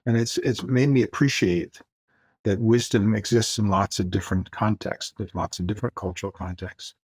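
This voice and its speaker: Older man, native Mandarin speaker, reflective podcast guest, dry close sound, slightly low voice. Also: steady tone, normal speed, a little mellow, husky, no echo. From Far East. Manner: reflective, searching for words, light laughs. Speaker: guest author. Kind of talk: podcast interview.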